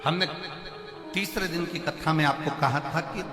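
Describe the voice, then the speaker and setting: A man's voice: fairly high pitch, normal speed, over music. A man preaching a devotional sermon at a large religious gathering, telling stories and asking rhetorical questions. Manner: rhetorical questions, vivid storytelling. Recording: echoey hall with long reverb.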